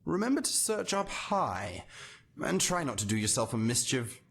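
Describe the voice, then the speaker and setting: A man's voice: mid-range voice, sing-song, moderate pace, creaky, fairly bright tone, breathy edge, full character voice. A man voicing a haughty, impatient character in a game who talks down to others. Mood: condescending. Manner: condescending, impatient. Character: haughty curt man sending errands.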